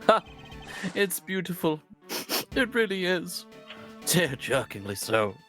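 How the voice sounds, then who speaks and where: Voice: mid-range voice, very animated, takes it slowly, a bit bright, soft background music, voice pushed up. A performer voicing a smug, gloating male antagonist with a mocking, theatrical delivery. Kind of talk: voice acting a game with friends.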